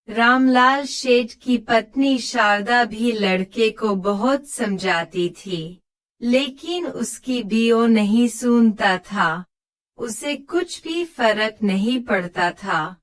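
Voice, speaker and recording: A woman's voice: fairly high pitch, fairly bright tone. A woman narrating a scripted moral story as the storyteller.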